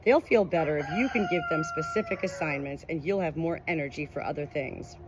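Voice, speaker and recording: Lower-voiced woman reading nonfiction over background noise. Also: brisk. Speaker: audiobook reader. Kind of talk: scripted nonfiction narration.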